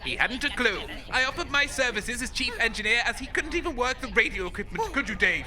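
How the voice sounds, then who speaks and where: Indignant, very high-pitched sidekick, played by a man. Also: animated, a little fast. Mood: scornful. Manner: indignant, mocking. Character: disgruntled radio engineer sidekick, indignant and scornful. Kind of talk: comedy audio drama acting.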